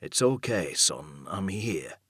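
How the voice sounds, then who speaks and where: Storybook role: man playing an elderly, muddled father, gently reassuring, deep and rough-voiced. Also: big pitch swings, slow pace, no echo, clean studio sound, exaggerated voice. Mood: soothing, confused. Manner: quiet, soothing, confused. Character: confused elderly father with failing memory, gently soothing.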